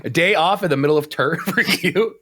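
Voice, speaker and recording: Laid-back, slightly high-voiced podcast co-host man. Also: brisk, a bit bright. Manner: laid-back, joking, laughs often. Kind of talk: casual chat.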